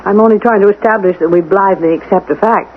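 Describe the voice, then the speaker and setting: A woman's voice: mid-range voice, quick pace, natural voice. A persistent, composed female amateur sleuth played by a woman in a 1970s radio mystery. She is precise, insistent and challenging in her questioning. The sound is thin and tinny, with faint noise. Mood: earnest, reassuring. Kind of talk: 1970s radio drama acting. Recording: tinny, noisy old radio broadcast recording.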